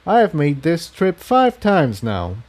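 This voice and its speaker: A man's voice: fairly high pitch, huge pitch swings, takes it slowly, a little mellow, voice pushed up, full character voice. A man voicing a determined, protective male pony hero who speaks with earnest emphasis in a story reading. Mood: confident.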